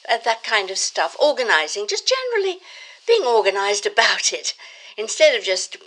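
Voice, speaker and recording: A woman's voice: a little high, sing-song, normal speed, fairly bright tone, poor audio. A woman playing an earnest, naive young activist granddaughter in an audio drama, stammering and hesitating in her eagerness. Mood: eager, flustered.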